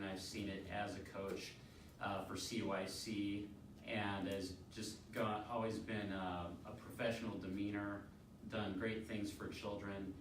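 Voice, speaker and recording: A middle-aged man giving an unscripted testimonial, halting and rambling a little. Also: on the low side. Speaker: youth soccer coach giving a testimonial. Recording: echoey room, distant mic, clear noise.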